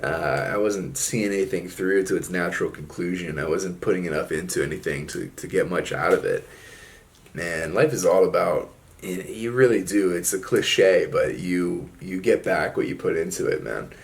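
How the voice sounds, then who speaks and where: A man's voice: sits low, normal speed, creaky. A young man talking casually to his viewers in a laid-back reflective monologue, often dropping "man" and "like". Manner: laid-back, drawling, frequent fillers like "man" and "like". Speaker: solo video host.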